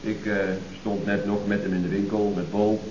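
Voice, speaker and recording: An actor playing a dry, needling colleague in a Dutch radio comedy, halting and understated, with a Dutch accent. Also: male voice, lowish voice, flat delivery, dark tone, a little hiss or hum, lightly acted. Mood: hesitant, understated. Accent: Dutch, from the Netherlands. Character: dry, needling, hesitant male colleague of the boss. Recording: dull, roomy old radio recording.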